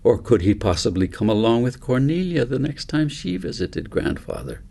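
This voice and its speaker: A man's voice: mid-range voice, very animated, normal speed, creaky, mellow. A man narrating a story as an author reading his own book aloud.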